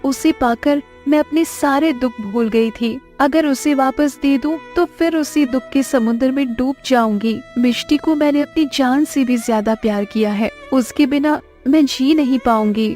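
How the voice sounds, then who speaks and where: A woman's voice: high-pitched, moderate pace, hardly any pauses, mellow, faint music behind, natural voice. A woman voicing a possessive, anxious fisherman's wife and mother in a Hindi cartoon story, emotive and insistent. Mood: desperate pleading.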